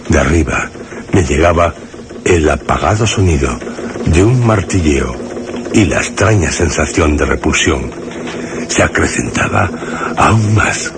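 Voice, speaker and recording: A man's voice: a bass voice, noisy background, exaggerated voice. An actor playing a horrified man who narrates his own terror in a grave, theatrical radio drama style. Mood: grave, uneasy. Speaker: first-person narrator.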